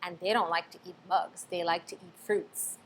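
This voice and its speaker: A woman reading a storybook aloud to children in an expressive, teacherly way, pausing to ask them questions. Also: on the low side, poor audio. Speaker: storybook reader.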